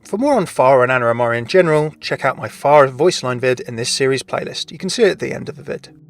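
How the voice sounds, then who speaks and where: A man's voice: mid-range voice, lively intonation, fast talker. A man narrating scripted video game lore commentary in an inquisitive, explanatory way. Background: background music.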